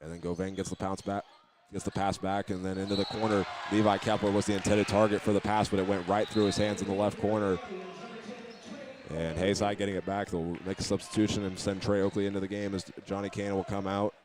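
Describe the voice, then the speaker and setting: Low, even-toned American man calling basketball. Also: fast talker, background noise. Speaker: play-by-play announcer. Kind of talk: live basketball radio commentary. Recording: clear gym ambience and ball bounces. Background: live basketball game in a high school gym.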